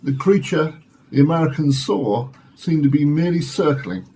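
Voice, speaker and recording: A man playing an academic scientist in a radio drama, arguing in a formal, measured and earnest way. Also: a little high, animated, mellow, a little hiss or hum, low-quality recording. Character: measured Oxford scientist defending alien visitors. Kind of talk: radio play acting as studio discussion.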